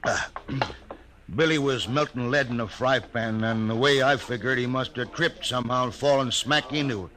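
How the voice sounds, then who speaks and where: A man playing a rough, nervous frontier hide skinner in an old radio western, with a folksy drawl. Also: medium pitch, normal speed, crowd noise, close to an everyday voice. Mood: wary. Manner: folksy drawl, eager and wary. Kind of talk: 1950s radio western acting.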